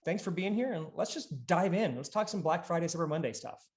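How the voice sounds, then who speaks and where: A man's voice: fairly high pitch, lively intonation, fairly quick, darkish tone. A man in his thirties hosting a business podcast, explaining things conversationally and emphatically from a home office. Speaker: podcast host. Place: small home office, indoors. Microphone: video call setup. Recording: roomy, echoey home office.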